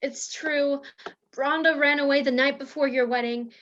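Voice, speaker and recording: A woman's voice: sits high, steady tone, normal speed, a bit bright. A woman playing a melodramatic, lovestruck soap-opera heroine who hides secrets, in a radio play parody. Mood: confessing. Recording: dull lo-fi recording.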